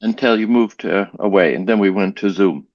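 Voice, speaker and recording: A man's voice: lowish voice, normal speed, no echo, muffled recording. A man, a guest, chatting casually on a video call, rambling and restarting sentences as he recalls things. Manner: relaxed, rambling, with frequent restarts. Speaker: interview guest, language learner. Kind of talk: casual conversation.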